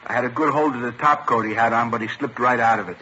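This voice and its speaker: A man's voice: medium pitch, fast, sharp tone, close to an everyday voice. An American actor playing a talkative, worked-up store owner in a 1950s radio drama, eagerly and emphatically retelling what he saw. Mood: indignant. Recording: dull old radio recording, faint noise.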